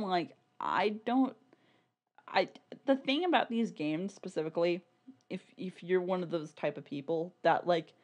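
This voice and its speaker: A woman with a slightly low voice talks casually as a podcast co-host. Her phrasing is informal and loose, sprinkled with "like" as she works through a point in discussion. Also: a little mellow. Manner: informal, peppers talk with "like" and laughs easily.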